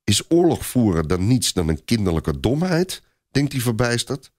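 A man's voice: mid-range voice, big pitch swings, moderate pace, a little mellow, no echo, studio quality. A man narrating a story in a dramatic, scripted audiobook style.

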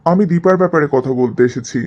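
A man's voice: a little high, fast, a little mellow, dull audio, close to an everyday voice. A man playing an easygoing, affectionate young husband in a cartoon drama, coaxing and explaining. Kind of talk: animated cartoon drama dialogue.

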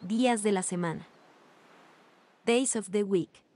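Woman, language drill reader, very slow, measured, mid pitch. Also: a little mellow, a little hiss or hum. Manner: reads each phrase slowly with long pauses. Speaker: language teacher. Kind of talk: slow repeated phrase drills.

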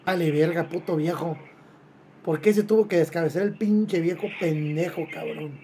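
A man's voice: a little high, moderate pace, dark tone, slight background noise. A man in his twenties with a Mexican accent, talking casually in crude slang on a prank phone call. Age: 20s. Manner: crude, swearing slang-heavy talk. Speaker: prank caller, streamer. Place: small dark room at home, streaming setup.

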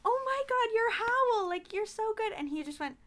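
A woman's voice: very high voice, lively intonation, moderate pace, a bit bright. A young adult woman chatting casually and effusively on a podcast, acting out what people said.

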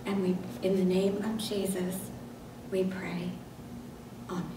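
An older woman reads liturgy aloud at a slow, solemn pace. She sounds distant from the microphone in a very reverberant church, with noticeable noise. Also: medium pitch, little pitch movement. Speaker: lay reader in church service. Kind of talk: scripted liturgy and scripture reading. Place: medium-sized church sanctuary, indoors. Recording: very echoey church, distant mic, noisy.

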